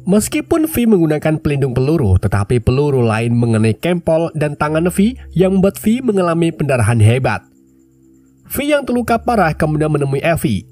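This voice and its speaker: A man recaps a film in a lively, casual storytelling voice. His pitch rolls up and down freely. A head cold leaves him nasal and stuffed up, with faint music underneath. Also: fairly quick, no room sound.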